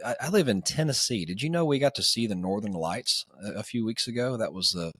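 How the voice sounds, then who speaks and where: A man hosting a podcast, hoarse today with a raspy voice, upbeat and chummy, talking casually to his guest and listeners. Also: on the low side, expressive, fairly quick, a bit bright, no echo, clean studio sound. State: hoarse, voice raspier and deeper than usual. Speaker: podcast host.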